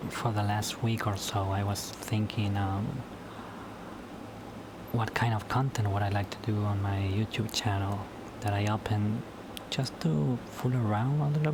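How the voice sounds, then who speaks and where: Slow spanish-native guy vlogging at home at night, keeps repeating words, noisy recording. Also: lowish voice, steady tone. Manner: halting, repeats words and restarts often. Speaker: YouTuber. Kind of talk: casual solo vlog talk.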